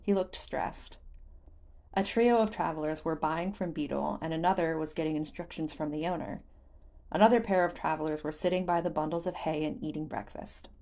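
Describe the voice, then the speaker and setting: Woman reading a fantasy story audiobook style, voice a bit on the low side. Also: normal speed, vocal fry, a little mellow. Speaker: audiobook narrator. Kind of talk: scripted fan fiction narration, storytelling.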